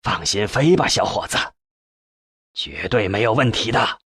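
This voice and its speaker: A man's voice: lowish voice, lively intonation, unhurried, a bit bright, slightly rough, no room sound, clean studio sound, voice pushed down, exaggerated voice. A man voicing an older male scientist in an audiobook, reassuring, eager and plain-spoken.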